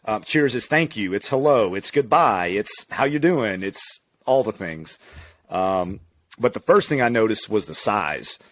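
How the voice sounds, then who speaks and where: A man from Louisiana, maybe in his thirties, a physical therapist telling stories in a relaxed, candid podcast interview and laughing easily. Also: on the low side, measured pace, no echo. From Louisiana, USA. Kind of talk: casual conversational interview.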